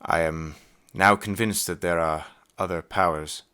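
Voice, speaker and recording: An actor playing a scholarly professor of the 1920s in an audio play, with formal, measured, old-fashioned diction. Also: male voice, low voice, fairly even intonation, bright, forceful tone, clean tone. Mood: grave, concerned. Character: grave, scholarly male professor of 1920s, investigating horrors. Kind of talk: dramatic audio play acting and narration.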